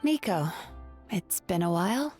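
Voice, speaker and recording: A woman playing a composed, gentle young therapist in a video game, measured and polite with a dry wit. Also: mid-range voice, lively intonation, soft background music, close to an everyday voice. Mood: wry. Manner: measured, polite, dryly witty.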